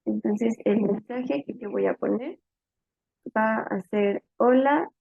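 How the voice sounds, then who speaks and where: A woman with a fairly high voice and a creaky edge teaches step by step, talking through each move informally as she works. The sound is narrow and phone-like, with very little room around it. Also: slow, no room sound. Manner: informal explanatory, thinking aloud. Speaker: programming instructor. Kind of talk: step-by-step tutorial narration while typing.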